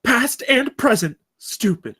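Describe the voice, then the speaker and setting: An actress playing an earnest, exasperated young campus guard in a comedy table read. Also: female voice, fairly high pitch, expressive, takes it slowly, a bit bright, slightly rough, heavily acted.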